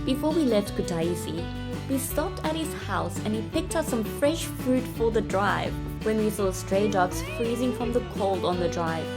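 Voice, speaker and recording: A woman's voice: medium pitch, expressive, normal speed. A young adult woman with a South African accent, chatting and narrating casually as a travel vlogger. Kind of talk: casual vlog narration and chat. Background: music.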